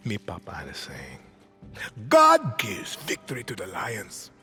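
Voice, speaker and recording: An actor playing a calm, controlling middle-aged dictator in a video game, with a Latin American Spanish accent and a measured, menacing delivery. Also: male voice, lowish voice, slightly rough, soft background music, full character voice. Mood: brooding, solemn. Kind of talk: cinematic video game acting.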